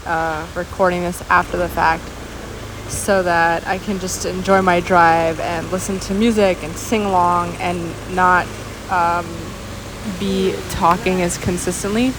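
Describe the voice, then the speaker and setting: A woman with a fairly low voice rambles casually in a vlog voice-over. She muses in halting phrases, one thought at a time. Steady rushing waterfall noise is clearly audible behind her outdoor recording. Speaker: vlogger.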